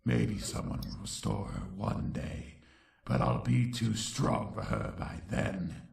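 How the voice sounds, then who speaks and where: Gravelly, slow villainous monster king. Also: on the low side, a little mellow, roomy sound, full character voice. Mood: brooding, menacing. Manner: slow, dramatic, menacing delivery. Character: villainous monster king, brooding, vengeful, bitter male tyrant pony. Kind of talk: dramatic narration in a comic audio dub.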